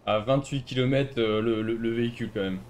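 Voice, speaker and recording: Male streamer, mid-pitched voice, sarcastic and argumentative, casual gaming roleplay talk. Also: a little fast, darkish tone, a little hiss or hum. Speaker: streamer playing a civilian in an online roleplay game.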